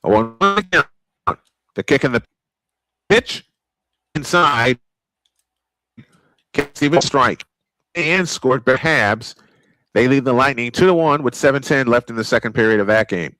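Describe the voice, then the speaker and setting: An older man doing old-school play-by-play baseball commentary as a fan broadcaster, calling pitches and counts and getting excited on close plays. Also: a little slow, pauses often, dry recording, poor audio. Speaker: play-by-play announcer.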